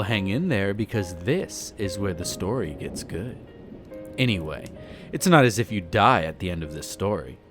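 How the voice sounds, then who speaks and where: A man playing a suave, flirtatious aristocratic vampire who talks to the listener with amused, haughty condescension in a roleplay audio drama. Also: fairly low pitch. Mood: teasing. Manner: theatrical, leisurely storytelling with teasing, haughty, formal wording.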